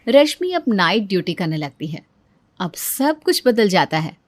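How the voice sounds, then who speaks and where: Woman narrator, medium pitch, scripted storytelling, very dry close sound. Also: sing-song, unhurried, dry recording.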